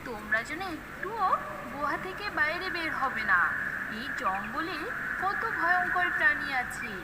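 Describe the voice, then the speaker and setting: A woman's voice: high voice, lively intonation, unhurried, heavy background noise. A woman voicing a protective mother duck in a cartoon, tender and reassuring yet firm. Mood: cautioning. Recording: echoey, loud music behind.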